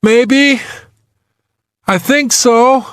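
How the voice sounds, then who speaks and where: A man's voice: very high voice, drawn-out words, a bit bright, clear, resonant tone, dry recording, clean studio sound, pitched above the performer's own voice, full character voice. A man voicing a frightened, injured young man who is trapped in ice, pleading and strained in an audiobook. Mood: fearful, doubtful. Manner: pleading, strained, near tears. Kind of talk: audiobook character dialogue.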